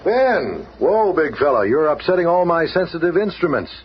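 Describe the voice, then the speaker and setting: A man's voice: on the high side, very animated, moderate pace, muffled recording. An actor playing an earnest, brainy male scientist hero in a 1970s radio drama. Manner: thoughtful, earnest. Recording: noisy, roomy 1970s radio recording.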